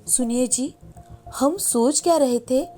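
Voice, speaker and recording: A woman voices a farmer's wife in a storybook tale, high-pitched and expressive. She comes across as caring and curious, with music playing behind her. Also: takes it slowly. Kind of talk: scripted moral story dialogue.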